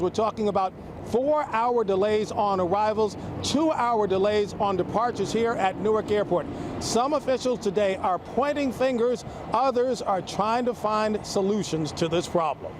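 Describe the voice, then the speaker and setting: High-pitched American male news reporter, noisy outdoors. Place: outdoors at an airport. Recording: clear outdoor airport and traffic noise.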